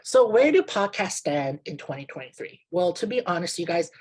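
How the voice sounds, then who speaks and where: A man's voice: high-pitched, sing-song, moderate pace. A man from Canada, presenting an online workshop in an upbeat, chatty way with lots of filler words over a video call. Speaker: webinar presenter. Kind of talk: friendly online workshop talk.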